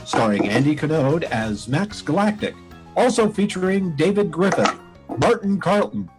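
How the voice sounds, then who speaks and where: A man's voice: fairly high pitch, very animated, normal speed. Male radio drama announcer, scripted credit read, formal, light music underneath.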